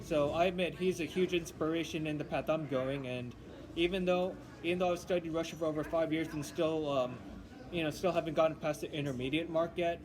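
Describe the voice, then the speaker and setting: A young man studying linguistics, chatting casually in a halting way with many restarts and fillers. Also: fairly high pitch, background noise. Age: young adult. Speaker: linguistics student. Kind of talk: casual chat.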